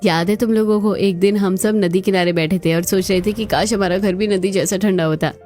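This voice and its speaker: A woman playing put-upon, chatty daughters-in-law in a cartoon story, with colloquial, expressive delivery. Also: mid-range voice, brisk, close to an everyday voice. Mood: chatty, content.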